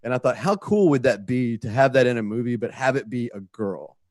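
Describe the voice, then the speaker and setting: A man's voice: medium pitch, lively intonation, fairly quick, darkish tone, no echo, clean studio sound. A man speaking as a guest writer in an interview, telling a story in a rambling, conversational way.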